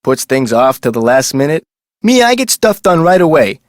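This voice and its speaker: Chatty park security guard from a video game, highish voice that bounces all over, super folksy, recorded dry. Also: male voice, normal speed, dry recording. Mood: chatty, casual. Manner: folksy, colloquial, animated delivery. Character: chatty, eager amusement park security guard, adult man. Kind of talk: scripted video game character dialogue.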